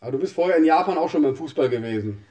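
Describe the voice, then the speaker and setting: A man's voice: on the high side, animated, very fast, dark tone. A male host interviewing a guest, prompting him and rephrasing his answers in casual German.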